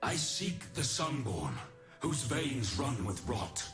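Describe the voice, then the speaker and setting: An actor playing a noble, tormented ancient warrior in a video game, speaking solemnly in measured, poetic phrases. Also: male voice, medium pitch, big pitch swings, music in the background. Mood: solemn, resolute. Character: noble, tormented ancient male warrior resisting dark corruption. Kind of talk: scripted video game character lines.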